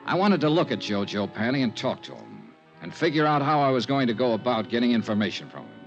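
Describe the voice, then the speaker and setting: An actor playing a shrewd, smooth-talking insurance investigator in a 1950s radio drama, with clipped hard-boiled narration and probing questions. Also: male voice, mid-range voice, wildly swooping pitch, fast. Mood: wry, reflective. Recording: old muffled radio recording, faint music.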